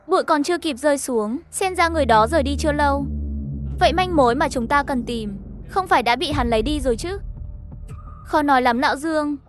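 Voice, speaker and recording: High-pitched woman narrating a story over music. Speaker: narrator voicing all characters of an animated horror story. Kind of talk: scripted narration with read-out dialogue. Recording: music playing behind the voice. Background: background music.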